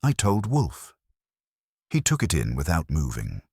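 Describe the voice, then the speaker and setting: A man's voice: a bass voice, wildly swooping pitch, unhurried, darkish tone, slightly rough, no echo, studio quality. A man narrating a scripted first-person detective story as an audiobook.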